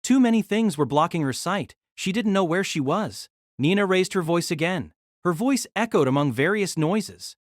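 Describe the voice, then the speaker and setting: Female narrator for novel audio drama. Lively, varied intonation, dramatic storytelling, dry close sound. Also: fairly low pitch, moderate pace, dry recording.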